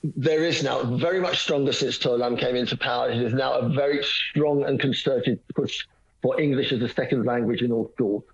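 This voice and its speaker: Guy on a radio chat, husky voice, kinda muffled lo-fi line, lots of uhs and restarts. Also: medium pitch, normal speed. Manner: rambling, hesitant, many restarts and fillers. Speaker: guest. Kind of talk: phone-in radio interview.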